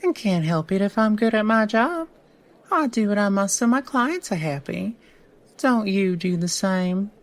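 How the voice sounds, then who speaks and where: Sly female attorney, played by an actress, audio drama: very slow delivery, teasing, shrewd, theatrical. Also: medium pitch, expressive, lightly acted. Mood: cool defiance. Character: sly, silky woman lawyer, old friend, teasing and shrewd.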